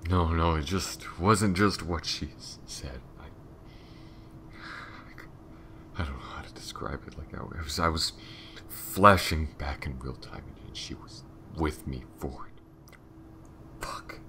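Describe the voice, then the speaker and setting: Man acting a brooding detective, extremely deep gravelly voice. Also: pushed and bright, a little hiss or hum, full character voice. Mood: shaken, weary. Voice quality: very deep, gravelly, breathy murmur. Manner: halting, muttering, swearing. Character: brooding, shaken male detective haunted by loss. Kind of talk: audio roleplay acting.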